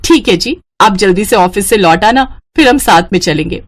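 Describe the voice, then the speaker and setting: A woman voicing a long-suffering, overworked young daughter-in-law in an animated family story. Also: mid-range voice, moderate pace, close to an everyday voice. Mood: dutiful. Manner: polite aloud, grumbling to herself.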